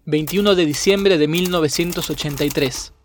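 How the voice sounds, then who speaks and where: A man's voice: fairly high pitch, normal speed, a little hiss or hum. A man reading scripted narration for an audio documentary. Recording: music bed under voice. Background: music.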